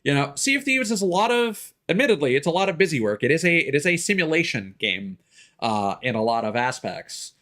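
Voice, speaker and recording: A man's voice: fairly high pitch, sing-song, a little fast, pushed and bright. A man working as a game streamer, chatting casually and explaining things in an informal way with some swearing. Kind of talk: casual livestream chat.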